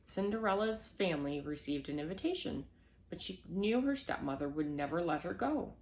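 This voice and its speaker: Female storyteller, lowish creaky voice, children's fairy tale read-aloud with small slips. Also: lively intonation, normal speed, low-quality recording. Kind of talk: scripted children's storybook narration.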